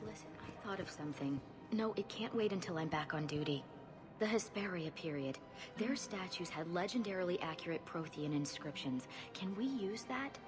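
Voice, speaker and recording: A woman's voice: fairly low pitch, animated, normal speed, natural voice. A woman playing an earnest, eager research official in a video game, insistent and professional. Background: music.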